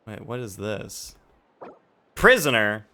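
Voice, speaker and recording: A man's voice: fairly low pitch, very animated, slow pace, sharp tone. A man hosting a casual gaming livestream, thinking aloud as he sets things up. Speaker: livestreamer, host. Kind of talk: casual gaming stream chat. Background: background music.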